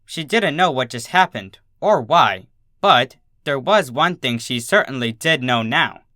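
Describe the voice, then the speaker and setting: A narrator reading fan fiction aloud in an expressive storytelling style. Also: medium pitch, unhurried, no room sound, clean studio sound.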